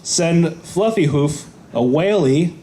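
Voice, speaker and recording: Slow, distant man reading aloud. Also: a little high, expressive, mellow. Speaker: panel reader. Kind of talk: halting read-aloud of misspelled comic text. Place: convention panel room. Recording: off mic, faint room noise.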